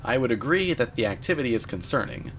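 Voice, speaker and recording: A man delivering scripted commentary in a deadpan, mock-serious manner. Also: medium pitch, fast. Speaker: narrator, video commentator. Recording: voice-over with music underneath. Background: music.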